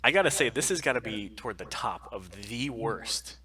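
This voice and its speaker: A man's voice: lowish voice, lively intonation, normal speed, a crowd in the background. A man co-hosting a casual chat stream, giving opinions in an emphatic, rambling way. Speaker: co-host of a weekly pop culture stream.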